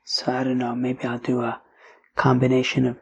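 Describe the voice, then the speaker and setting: A man with a failing, hoarse voice teaching a daily Talmud lesson in a relaxed, chatty way, sometimes dropping to a whisper. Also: medium pitch, moderate pace, highs cut off. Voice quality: raspy, drops to whispering. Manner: casual, with fillers and mixes in Hebrew and Aramaic terms. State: losing his voice, hoarse.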